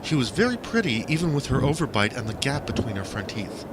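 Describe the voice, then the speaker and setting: A man narrates a first-person audiobook of his own stories. His delivery is theatrical, with pitch that swings freely up and down. The homemade recording carries clear background noise. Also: big pitch swings, fairly quick.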